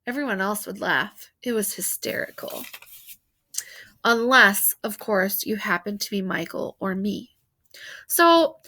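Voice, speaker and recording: A woman reading a children's novel aloud in an expressive, animated storytelling manner, with emphasis on key words. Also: mid-range voice. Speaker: storybook reader.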